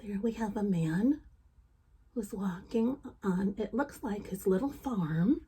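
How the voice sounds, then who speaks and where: A woman reading a children's story aloud, gentle and expressive, chatting to her young listeners as she goes. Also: mid-range voice, takes it slowly, breathy edge.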